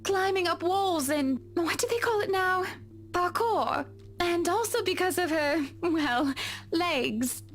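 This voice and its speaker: Woman to play a confident, refined society lady; high-pitched, prim diction. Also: slow pace, a little hiss or hum. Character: poised, genteel wealthy woman, confident and fond. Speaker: game character. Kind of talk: voiced visual novel dialogue.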